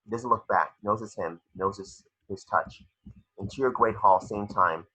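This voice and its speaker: A man reading screenplay stage directions as a narrator, with a raspy voice. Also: lowish voice, normal speed, sharp tone, poor audio. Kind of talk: scripted narration.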